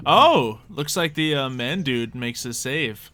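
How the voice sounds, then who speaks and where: Bright-voiced man running a tabletop game. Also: mid-range voice, a little slow. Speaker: game master. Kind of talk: casual tabletop game narration.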